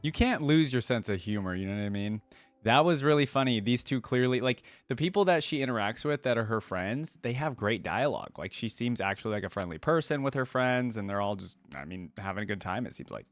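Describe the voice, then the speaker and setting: A man's voice: medium pitch, animated, brisk, dry recording, soft background music. A man hosting a reaction video, chatting informally to viewers in casual commentary on a close mic. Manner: informal, conversational, addresses viewers. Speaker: host of a reaction channel.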